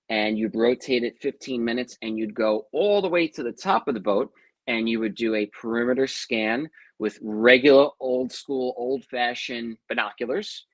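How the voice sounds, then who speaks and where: A man's voice: mid-range voice, no room sound. New York man telling his story, dry mic. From Long Island, New York. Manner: chatty, tells long stories with asides. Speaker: guest. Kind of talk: casual interview storytelling.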